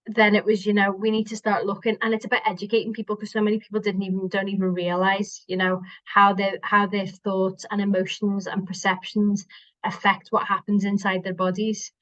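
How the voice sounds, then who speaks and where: A woman's voice: medium pitch, fairly bright tone, dry recording. A middle-aged woman from Liverpool with a Liverpool accent, speaking as a guest body worker in a casual chat and often restarting her sentences. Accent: Liverpool, softened by years in Scotland. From Liverpool, England. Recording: dry, dull lo-fi sound.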